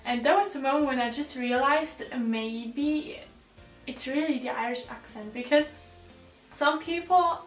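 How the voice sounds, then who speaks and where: A teenage woman from South Tyrol, a native German speaker with a South Tyrolean accent, chatting casually to her viewers as a vlogger. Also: a little high. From South Tyrol, Italy. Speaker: vlogger, exchange student. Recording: roomy echo, distant mic, background music.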